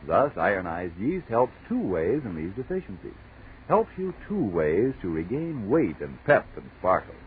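Animated low-voiced 1940s radio announcer man. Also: moderate pace. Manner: formal, emphatic salesmanship. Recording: noisy, tinny 1940s radio broadcast.

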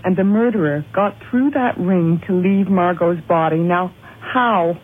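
An actress playing an earnest, intense woman in a radio drama, speaking in urgent, halting, insistent phrases. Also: lowish voice, breathy edge. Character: earnest, intense woman piecing together a murder, growing afraid. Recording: noisy, phone-like old radio broadcast.

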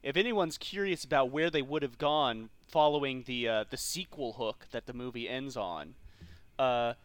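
A man's voice: mid-range voice, moderate pace, fairly bright tone, no room sound. A man co-hosting a podcast, casually discussing films and games. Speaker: podcast co-host. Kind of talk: casual film and game discussion.

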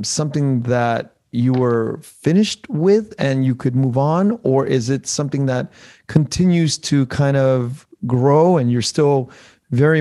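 A man's voice: medium pitch, a little mellow. A man hosting a casual interview, feeling his way through questions with searching, tentative phrasing. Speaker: interviewer, podcast host.

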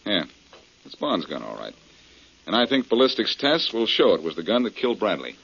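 A man's voice: on the low side, wildly swooping pitch, fairly quick, creaky, a bit bright, breathy edge, full character voice. A man playing a cool, clever amateur detective in an old radio mystery, wry and self-assured. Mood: wry, confident. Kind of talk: 1940s radio crime drama acting. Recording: muffled, noisy old-time radio recording.

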